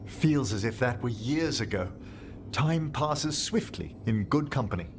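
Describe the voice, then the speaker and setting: An actor voicing a courtly older professor of necromancy in a video game, speaking in formal, eloquent, philosophical phrases. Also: male voice, medium pitch, lively intonation, measured pace, noisy background. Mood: solemn, fond. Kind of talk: video game character acting.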